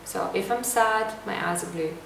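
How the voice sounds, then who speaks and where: Gravelly-voiced woman in an echoey room. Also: medium pitch, normal speed, slight background noise. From Lithuania. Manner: calm, reflective, reads questions aloud. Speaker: lifestyle vlogger. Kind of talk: casual to-camera Q&A. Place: indoor room at home. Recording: echoey room, voice a bit distant.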